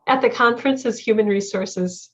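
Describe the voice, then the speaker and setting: A woman's voice: a little high, normal speed, soft background music. A woman chatting casually as a participant in a conference discussion, heard over a video call. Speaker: panel participant, conference staffer. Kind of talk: casual chat. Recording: slightly dull video-call audio.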